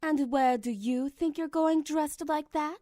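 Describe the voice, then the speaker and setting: A man's voice: very high-pitched, unhurried, warm tone, pitched above the performer's own voice. A man voicing a refined fashionista mare who speaks in a posh, fussy manner. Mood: prim.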